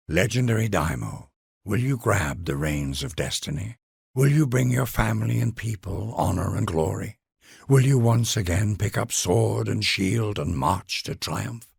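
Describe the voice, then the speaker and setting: A man's voice: fairly low pitch, creaky, breathy edge, no room sound, clean studio sound. A man delivering scripted dramatic narration for a video game, addressing a ruler in a solemn, grandiose and formal manner. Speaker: narrator of game leader introductions.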